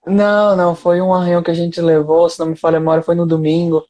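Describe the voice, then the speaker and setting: A man's voice: on the high side, flat delivery, fast, warm tone. A young man with an Amazonas accent, a courteous guest on a live video call who often speaks of faith. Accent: Amazonas Portuguese. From Amazonas, northern Brazil.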